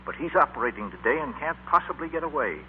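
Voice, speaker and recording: Actor as a grave doctor, tinny radio. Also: male voice, fairly high pitch, lively intonation, fast talker, natural voice. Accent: American. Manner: formal, serious. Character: grave, sober adult family doctor. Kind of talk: 1940s radio drama acting. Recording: tinny, noisy 1940s radio recording.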